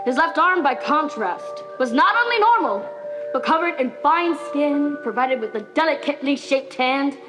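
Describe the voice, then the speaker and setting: Woman playing Victorian doctor, high-pitched, grave, measured lecturing monologue, music behind. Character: grave Victorian doctor presenting a deformed patient. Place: high school theater stage.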